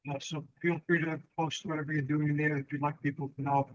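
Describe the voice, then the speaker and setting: A male host interviewing a candidate, reflective and measured, with occasional fillers. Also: a little high, flat delivery, dry recording, dull audio. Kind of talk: interview hosting.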